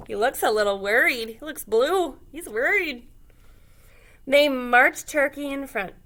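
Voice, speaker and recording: Lady doing a kids read aloud, bright highish voice, expressive, asks the kids stuff. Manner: expressive, pausing to question young listeners. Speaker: read-aloud storyteller, teacher. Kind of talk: children's picture book read-aloud.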